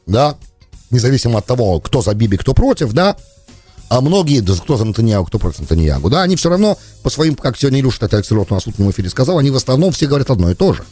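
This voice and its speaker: A man's voice: medium pitch, sing-song, very fast, warm tone, faint music behind. A man hosting a political talk radio show, speaking in a rambling, argumentative monologue that is full of self-corrections.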